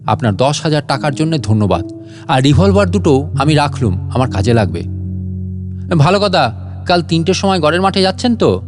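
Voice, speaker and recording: A man's voice: a little high, big pitch swings, moderate pace, music in the background. A man voicing a cocky master thief in a comic storybook reading. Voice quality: coughing laugh. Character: cocky master thief with a hacking cough, later panicking.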